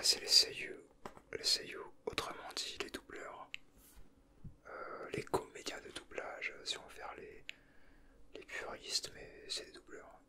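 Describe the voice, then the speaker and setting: A man whispering softly in an informal, slangy, rambling ASMR commentary with hesitations. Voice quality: soft, unvoiced whisper. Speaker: ASMR video creator, host. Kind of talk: whispered ASMR, casual flip-through commentary.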